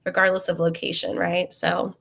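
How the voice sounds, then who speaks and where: A woman's voice: on the low side, monotone, normal speed, creaky, fairly bright tone. A young woman, a bit sniffly from a cold, hosting a live webinar in a chatty, upbeat way with many ums and uhs. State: sniffly, getting over a cold. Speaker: webinar host.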